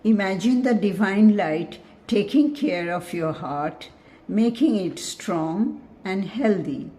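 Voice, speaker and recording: Slow, mellow woman guiding meditation. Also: mid-range voice, animated. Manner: measured, instructive, soothing. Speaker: meditation guide. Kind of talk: guided meditation, scripted narration.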